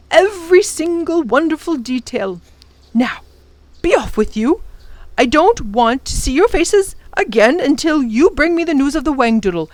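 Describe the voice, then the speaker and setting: A woman voicing a kind, brave old male professor who speaks in a gentle, formal way and breaks into defiant shouts. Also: very high voice, dry recording, pitched above the performer's own voice, heavily acted.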